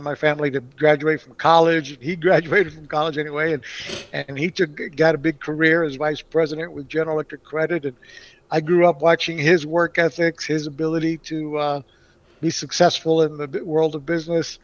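A man's voice: on the high side, no room sound. Rambling man on a dull dry mic. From Puerto Rico. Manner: rambling, many restarts and fillers. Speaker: guest. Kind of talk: casual interview answers.

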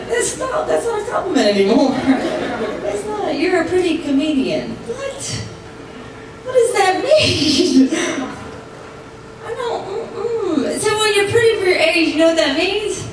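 A woman in her forties performs stand-up with a high, playful, animated voice. She is telling stories on a club stage, heard from far off through heavy echo, with clear audience noise around her. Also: unhurried, pauses often. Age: 40s. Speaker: stand-up comedian. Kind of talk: live stand-up comedy routine. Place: comedy club stage, indoors. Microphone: handheld mic, captured by far camera. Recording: distant, echoey club recording with audience noise. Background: audience crowd noise and laughter.

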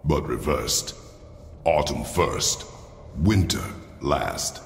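Gravelly, extremely deep warrior father. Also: expressive, full character voice. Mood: brooding, deliberate. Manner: terse, commanding, slow. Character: stern, gruff, battle-hardened warrior father. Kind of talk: cinematic video game acting. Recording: roomy reverberant stone chamber, game ambience.

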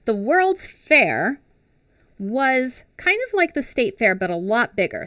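A woman in her thirties reading a picture book aloud to children on a headset mic, pausing to explain and ask questions. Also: medium pitch, no echo, studio quality. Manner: expressive, asks children questions. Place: small home studio with classroom backdrop.

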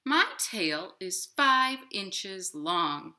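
A woman's voice: mid-range voice, sing-song, very slow, pushed and bright, full character voice. A woman voicing a hungry, proud, challenging male robin in a storybook read-aloud.

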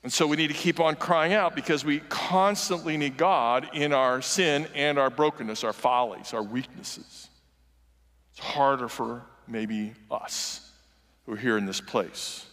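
A man's voice: mid-range voice, a little slow, fairly bright tone. A man in his sixties giving an earnest, conversational sermon in a large church sanctuary, moving around the stage as he preaches. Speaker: guest preacher. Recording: long reverb of a large church hall.

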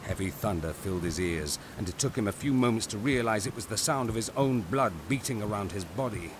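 A man narrating a scripted audio drama in a grave, deliberate, dramatic way. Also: lowish voice, lively intonation, noisy background.

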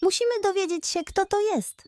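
A woman's voice: very high-pitched, brisk, darkish tone, dry recording, pitched above the performer's own voice. Woman voicing a sensible girl animal friend. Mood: thoughtful.

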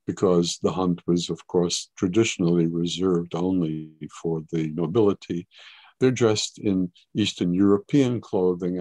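Older male art historian on a webcam: low and dry, measured, explanatory delivery. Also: warm tone, no room sound. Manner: measured, explanatory, occasional hesitations. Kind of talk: online gallery talk. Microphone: webcam on video call.

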